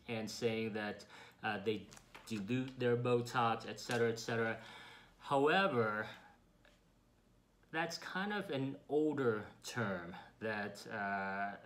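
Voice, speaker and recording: A man's voice: mid-range voice, fairly bright tone. Man, 40s, doctor at his office desk, patient explainer with everyday examples, close webcam sound. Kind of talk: explanatory demonstration. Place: office with framed pictures, indoors.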